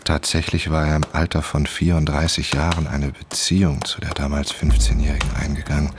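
An actor playing a sober novelist who narrates a crime radio play in scripted prose. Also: male voice, a bass voice, little pitch movement, brisk, noisy background. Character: sober male novelist recounting a murder case.

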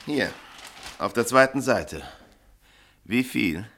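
Grumpy sarcastic middle-aged editor boss, radio play, sharp bright voice, bossy. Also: male voice, medium pitch, animated, slow pace, exaggerated voice. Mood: sarcastic scolding. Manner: brusque, sardonic, bossy. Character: gruff, sarcastic veteran newspaper editor, irritable boss. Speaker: deputy newspaper editor. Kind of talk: German radio play acting.

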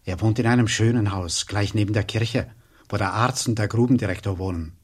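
Respectful, husky local man. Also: lowish voice, a little fast. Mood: respectful, helpful. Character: respectful, helpful male local giving a monk directions. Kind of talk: German radio drama acting.

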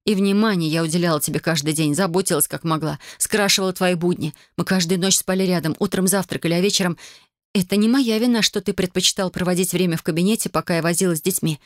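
A hurt young wife and mother, as the reader puts her on, her voice lifted higher and sharp with reproach. She speaks in a bitter, accusing manner, the audiobook sound dry and close. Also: fairly even intonation, fast, no room sound, natural voice. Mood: reproachful. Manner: reproachful, sharp, rising in anger. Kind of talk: audiobook character voice.

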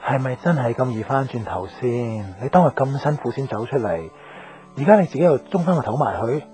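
An actor playing a hesitant, secretly jealous young man, a close friend, in a radio drama, halting and introspective, with a Hong Kong Cantonese accent. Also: medium pitch, lively intonation, warm tone, faint music behind, close to an everyday voice. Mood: uneasy, objecting. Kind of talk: radio drama dialogue and inner monologue.

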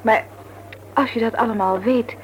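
A woman's voice: on the high side, normal speed, close to an everyday voice. An actress playing a breezy, self-assured, teasing young woman in a vintage radio serial. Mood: matter-of-fact. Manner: chatty, teasing, expressive. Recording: noisy old radio drama recording.

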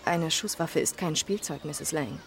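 An actress playing a composed young police detective, questioning politely but insistently in a radio crime drama. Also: female voice, deep voice, measured pace, creaky.

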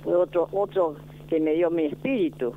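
A woman in her nineties, born in Italy, telling her memories in a slow, deliberate way as a guest. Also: fairly low pitch. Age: 90s. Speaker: school founder, guest. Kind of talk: reminiscing interview. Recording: tinny phone-like line, faint noise.

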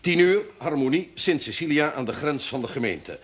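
Man acting a dry friend, widely swinging pitch. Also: animated, moderate pace, natural voice. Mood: businesslike. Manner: dry, clipped, matter-of-fact. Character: dry, fussy but fond male friend of a chaplain. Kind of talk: naturalistic 1970s television drama acting.

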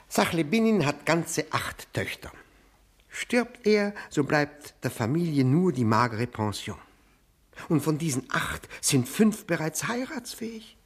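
A fawning, gravelly-voiced widower. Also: male voice, fairly high pitch, full character voice. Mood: excited. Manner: hesitant, obsequious, stammering repetitions. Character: fawning, self-abasing widower pleading to remarry. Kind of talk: 1960s German radio play acting.

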